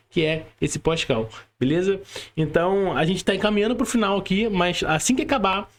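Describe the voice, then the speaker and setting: Brazilian male podcast host, high voice, informal and conversational, checks in with little tag questions. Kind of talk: casual interview chat with calls to subscribe. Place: remote video call.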